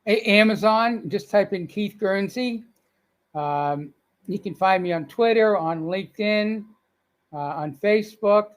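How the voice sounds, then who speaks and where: A man's voice: high-pitched, unhurried. An older man with a Boston accent, telling stories as a guest in a casual upbeat interview. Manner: folksy, upbeat storyteller.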